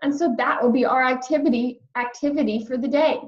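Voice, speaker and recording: A woman reading a children's chapter book aloud in an expressive storytelling style. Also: sits high, a little fast, lo-fi sound. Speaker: storybook reader.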